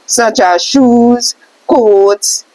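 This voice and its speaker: A woman reading a scripted question-and-answer lesson aloud, setting out names and lists deliberately. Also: fairly high pitch, darkish tone, no echo, rough recording. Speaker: reader of a scroll text.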